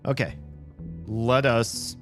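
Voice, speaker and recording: A man's voice: medium pitch, steady tone, takes it slowly. A man chatting casually and at length on a live stream from a room at home, rambling and correcting himself as he goes. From United States. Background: music.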